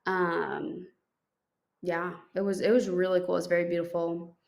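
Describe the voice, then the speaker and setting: A woman's voice: on the low side, little pitch movement, normal speed, creaky, a little mellow. A young woman co-hosting a podcast, telling a story in a chatty way with many fillers and restarts. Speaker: podcast co-host. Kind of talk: casual chat.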